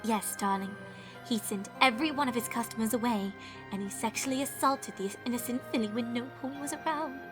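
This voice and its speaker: A woman's voice: on the high side, fairly quick, music in the background, lightly acted. A woman voicing a refined, dramatic female unicorn fashionista telling a spooky tale in a fan fiction reading. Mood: grave, suspenseful. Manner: theatrical, genteel, calls others darling.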